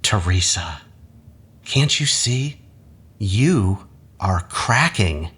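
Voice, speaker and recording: An actor playing a manipulative academic husband in an audio drama, measured, coaxing and patronizing. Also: male voice, deep voice, expressive, a bit bright, slightly rough, full character voice. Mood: condescending, insistent. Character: manipulative husband, an academic doctor, calmly condescending and persuasive.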